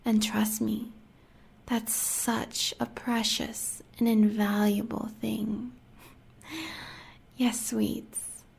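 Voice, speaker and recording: A woman's voice: on the high side, vocal fry, darkish tone, breathy edge, heavily acted. An American woman playing a sadistic, seductive huntress in an audio roleplay, taunting the listener in sultry half-whispers with cruel pet names. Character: sadistic seductive werewolf huntress, villain's lover.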